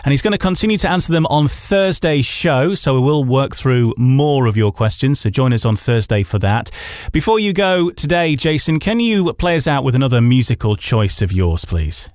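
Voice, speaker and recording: A man's voice: medium pitch, very animated, normal speed, darkish tone, no room sound, clean studio sound. A man presenting a radio interview, putting questions to his guest. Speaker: radio host.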